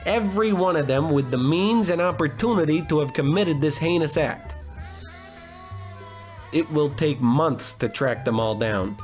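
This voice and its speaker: Over music, an actor plays a cocky, hard-drinking male detective from an old radio noir spoof. His voice sits a little high for a man. He monologues with melodramatic self-importance and tosses off wisecracks. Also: animated, a little slow, a little mellow. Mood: grave, melodramatic. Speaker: narrator and lead actor.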